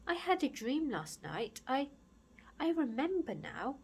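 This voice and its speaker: A woman's voice: high voice, sing-song, a little slow, voice pushed up, exaggerated voice. A woman voicing a big, muscular, hot-tempered storybook bully boy who is wounded underneath, with emotional outbursts. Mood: shaken, quiet. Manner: loud, growling, emotional outbursts.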